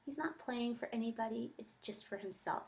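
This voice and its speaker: A woman's voice: on the high side, moderate pace, gravelly, darkish tone. A woman teacher giving a think-aloud reading lesson, reading and commenting reflectively, talking her thoughts through step by step.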